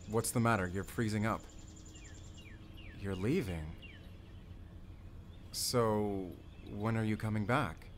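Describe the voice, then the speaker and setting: A man's voice: on the low side, a little hiss or hum. A man playing a hurt, pleading, lovelorn young man in an audio roleplay. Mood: concerned, deflated.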